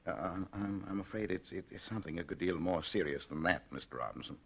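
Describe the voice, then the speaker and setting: An actor playing a meek, shy, middle-aged husband secretly plotting murder in an old radio drama, with a hesitant, apologetic manner. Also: male voice, deep voice, fast talker, gravelly, breathy edge, highs cut off, exaggerated voice. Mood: nervous, deferential. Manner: mild, hesitant, stammering, apologetic. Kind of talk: 1940s radio drama acting and narration. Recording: vintage radio recording with faint music.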